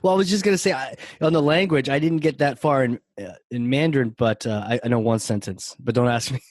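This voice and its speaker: A man's voice: mid-range voice, fast, dry recording. Man from New Hampshire, podcast co-host, rambling chatty storyteller, laughs a lot, dry close mic. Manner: chatty storyteller, laughs often, restarts phrases. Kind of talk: casual chat.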